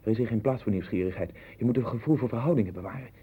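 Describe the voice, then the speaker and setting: A man's voice: mid-range voice, racing, breathy edge. An actor playing an anxious, obsessive man who rambles and broods in a 1960s Dutch radio play. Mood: insistent, guarded. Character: anxious, obsessive man sinking into his own strange world. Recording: tinny, band-limited 1960s radio recording with hiss.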